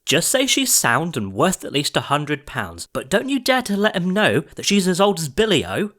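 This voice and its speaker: A man voicing a quick-tempered mother who scolds her son in a high, shrill voice. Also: wildly swooping pitch, a bit bright, heavily acted. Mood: scolding.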